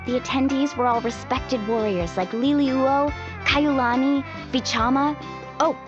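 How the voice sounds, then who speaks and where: High, breezy young game guide. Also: female voice, unhurried. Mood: earnest. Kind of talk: anime video game voice acting. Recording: muffled game audio under music.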